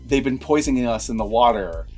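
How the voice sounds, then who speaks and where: A man's voice: mid-range voice, lively intonation, brisk, darkish tone, soft background music. A man hosting a casual movie review vlog, talking in a rambling, conversational, lightly profane way.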